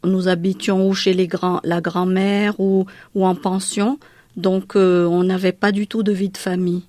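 A woman's voice: medium pitch, level tone, measured pace, a little mellow, no echo. A woman from Madagascar whose first language is Malagasy, telling her life story in an interview, hesitant, with many fillers and restarts.

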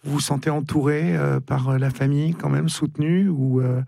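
A man hosting a live call-in radio show, talking gently and politely with many hesitations and fillers. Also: on the high side, steady tone, normal speed, vocal fry, no echo, clean studio sound. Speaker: radio talk show host. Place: radio studio. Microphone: studio microphone.

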